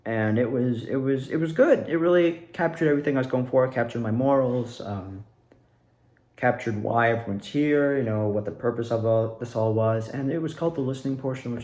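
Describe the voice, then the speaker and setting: A man's voice: mid-range voice, brisk. Young man chatting casually in an echoey room. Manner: animated retelling, acts out quoted lines. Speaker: amateur actor recounting own performance. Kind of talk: casual self-interview storytelling. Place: indoors at home.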